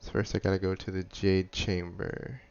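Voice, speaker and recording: Low-voiced man doing casual gameplay commentary. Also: level tone, normal speed, clear, resonant tone. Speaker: gamer, video creator. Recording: slightly dull, lo-fi microphone sound.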